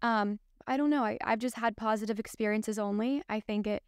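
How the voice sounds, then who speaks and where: A young woman with a higher, smooth, clear voice hosts a casual podcast. She sounds upbeat and chatty, and reacts eagerly to her guest. The close mic keeps the sound dry and direct. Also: fairly even intonation, a little fast, no room sound. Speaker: podcast host. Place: small studio set. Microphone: studio mic on boom at the mouth.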